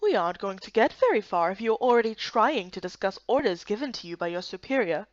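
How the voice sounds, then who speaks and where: A woman's voice: medium pitch, very animated, brisk, no room sound, lo-fi sound, close to an everyday voice. A woman voicing a smug, sarcastic male guard leader in a visual novel game, sardonic and condescending.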